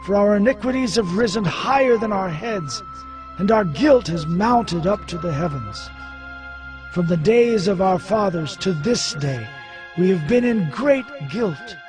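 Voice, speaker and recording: A man's voice: high-pitched, lively intonation, measured pace, over music. An actor playing a grief-stricken, devout priest in a dramatized scripture reading, solemn and pleading as he declaims. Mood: ashamed, anguished. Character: grief-stricken, ashamed, devout male priest praying to God.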